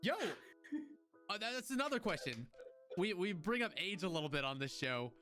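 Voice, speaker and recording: Joking twenty-something podcast host, slightly high voice. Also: male voice, sing-song, moderate pace, sharp tone, soft background music. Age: 20s. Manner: joking, rambling, restarts often. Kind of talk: casual chat.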